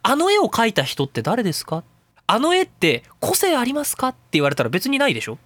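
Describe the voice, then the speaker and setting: A high-voiced, bright man hosts a casual radio talk show, his pitch leaping all over as he rambles quickly. He mixes serious points with crude, jokey rants. The sound is close and dry. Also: huge pitch swings, dry recording.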